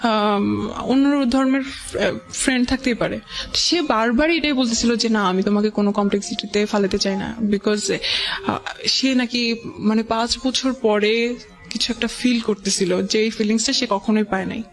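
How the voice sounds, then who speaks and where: A woman's voice: on the high side, normal speed. A young woman with a Bangladeshi accent telling a personal story conversationally as a guest, hesitantly, with English words mixed in and quoted dialogue. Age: young adult. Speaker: guest sharing life story.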